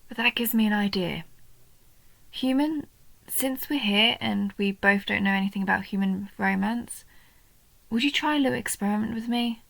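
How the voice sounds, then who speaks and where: A woman voicing a young female goblin in a roleplay audio, a nerdy, sweet and curious bookworm who talks earnestly and eagerly, sometimes softly breathy. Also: mid-range voice, no room sound, low-quality recording. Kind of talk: roleplay audio, intimate one-sided scripted dialogue.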